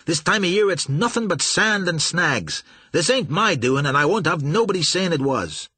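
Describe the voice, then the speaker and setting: A man doing a shrill, panicky steamboat pilot. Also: animated, moderate pace, pushed and bright, slightly rough, dry recording, heavily acted. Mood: indignant. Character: excitable male steamboat pilot, panicky and defensive.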